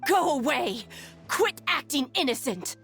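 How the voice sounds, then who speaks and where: A woman's voice: high voice, sing-song, measured pace, a bit bright, faint music behind, full character voice. A woman voicing a suspicious, hostile townswoman in an anime game, accusing and scornful.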